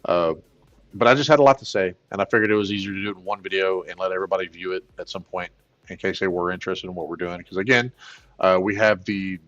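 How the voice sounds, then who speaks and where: Rambling baritone man, drawl. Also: quick pace, dull audio. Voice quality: plain baritone voice. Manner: rambling, filler-heavy, excitable in bursts. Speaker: gaming channel host. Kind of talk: casual livestream chat.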